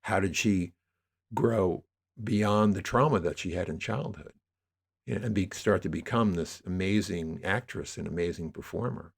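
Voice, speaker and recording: A man with a fairly low voice talks as a podcast guest. He explains his creative work in a conversational, wordy way, often circling back to rephrase a thought. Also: moderate pace, gravelly, husky. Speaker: podcast guest, audio drama producer.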